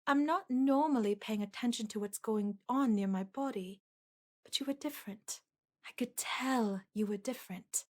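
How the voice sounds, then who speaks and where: High-voiced lovestruck undead bride played by a woman. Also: normal speed, lightly acted. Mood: relieved, tender. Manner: soft, earnest, pleading, hesitant stammer. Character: lovestruck undead corpse bride, pleading, gentle, clingy yandere. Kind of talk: ASMR audio roleplay.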